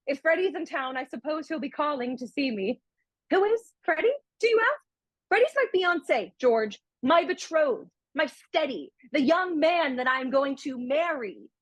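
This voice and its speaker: Radio play: affectionate, breezy young woman played by an actress, high-pitched, lilting and animated, chatty. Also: moderate pace, no echo. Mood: teasing. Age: young adult. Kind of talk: comic radio play acting.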